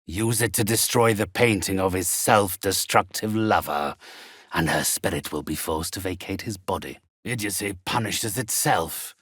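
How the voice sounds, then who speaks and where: An actor playing a haughty, sinister old undead necromancer in a video game, with a gravelly, husky voice that often drops to a breathy whisper and a theatrical, condescending, formal delivery. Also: male voice, sits low, normal speed, fairly bright tone, dry recording, clean studio sound. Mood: cold disdain. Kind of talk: video game character acting.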